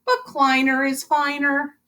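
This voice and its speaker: A woman's voice: high-pitched, level tone, slow pace, bright, forceful tone, exaggerated voice. A woman voicing a grumpy old man bluffing as a substitute Santa, kvetching and blustering in a storybook read-aloud.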